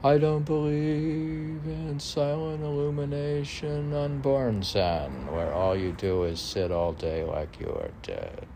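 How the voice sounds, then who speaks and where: A man's voice: a little high, lively intonation, slow, gravelly, few pauses, warm tone, a little hiss or hum. A man reading his own poetry informally, musing aloud and chatting to himself between lines in an unpolished way. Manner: musing, talks to himself, asks and answers own questions. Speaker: poet reading own work.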